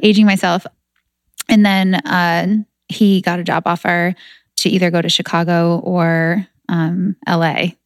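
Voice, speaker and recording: A woman's voice: lowish voice, little pitch movement, a little slow, clean tone, no room sound, clean studio sound. Chatty woman from New Hampshire. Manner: chatty storytelling, laughs often. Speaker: podcast guest, fitness entrepreneur. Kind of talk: casual interview chat.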